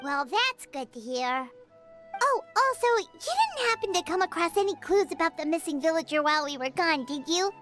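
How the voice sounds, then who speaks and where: Tiny chirpy fairy guide, game voice, very high, bouncy pitch. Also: female voice, big pitch swings, music in the background. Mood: curious. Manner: chatty, eager, talks in quick bursts. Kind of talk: video game voice acting.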